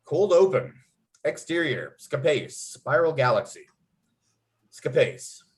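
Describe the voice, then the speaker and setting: A man's voice: a little high, animated, slow pace. A man acting as narrator at a table read, reading out script and stage directions.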